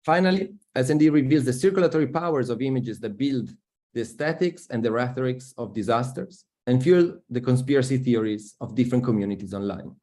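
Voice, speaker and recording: A male host reads a prepared introduction for an online art talk. His delivery is measured and formal, his voice mellow and dark, at an ordinary pitch for a man. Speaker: event host. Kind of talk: reading a scripted introduction.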